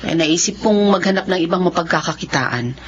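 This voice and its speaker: A woman playing a caring, hardworking Filipino mother in a radio drama, speaking gently and politely with expression. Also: on the low side, expressive, a little fast, lightly acted. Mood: resolved. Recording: dull lo-fi audio, faint noise.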